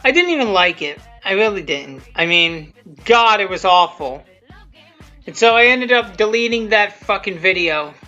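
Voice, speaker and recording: Bright, muffled, halting amateur commentator. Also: mid-range voice, lively intonation. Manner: halting, repetitive, often restarting sentences; blunt, self-deprecating, casual swearing. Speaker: creator giving commentary on own video. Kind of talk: rambling unscripted video commentary. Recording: muffled lo-fi audio, music behind.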